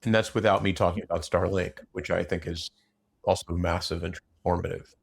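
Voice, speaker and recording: A man's voice: deep voice, flat delivery, brisk, creaky, husky. A man in a casual unscripted discussion, opinionated and blunt, rambling through broken-off sentences.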